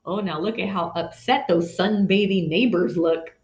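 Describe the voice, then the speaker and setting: A woman's voice: mid-range voice, expressive, slow pace, darkish tone. A woman in her thirties reading a picture book aloud dramatically, speaking to young listeners. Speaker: children's story reader. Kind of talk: expressive picture-book read-aloud with asides. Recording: dull sound in echoey room.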